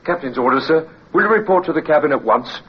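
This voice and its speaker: Dutiful young seaman male actor, raised lively pitch, crisp naval address, muffled old radio play. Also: quick pace, background noise, lightly acted. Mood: urgent, dutiful. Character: brisk, dutiful young sailor delivering captain's orders. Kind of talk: radio drama acting.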